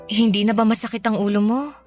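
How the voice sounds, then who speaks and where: A woman playing a gentle, protective mother who speaks reassuringly in a radio drama. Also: mid-range voice, steady tone, fast talker, soft background music, close to an everyday voice. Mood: tender. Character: tender, protective widowed mother of two boys. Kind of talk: Filipino radio drama acting.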